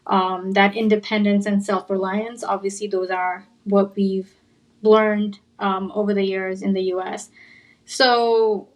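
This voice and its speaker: A woman from Nepal in a casual panel discussion, reflective, searching for words as she thinks aloud. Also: medium pitch. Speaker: podcast panelist.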